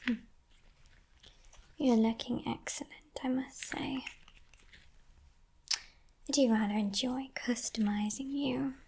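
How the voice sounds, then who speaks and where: A woman voicing a teasing, possessive female demon captor in a breathy, mock-polite whisper, as ASMR roleplay. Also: on the high side, steady tone, a little hiss or hum. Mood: smug, amused. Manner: slow, hushed, mock-polite, condescending.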